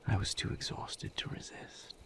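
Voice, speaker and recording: A man playing a weary vampire lover who confides a painful past in a hushed, halting, solemn voice, as an intimate audio roleplay. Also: background noise, natural voice. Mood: somber, haunted. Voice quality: hushed, breathy whisper with gravelly creak.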